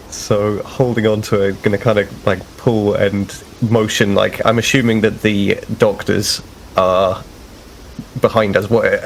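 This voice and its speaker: A man's voice: fairly low pitch, vocal fry, slight background noise, low-quality recording. A man playing a tabletop game, explaining his moves in a thoughtful, rambling way. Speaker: player. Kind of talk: casual tabletop play.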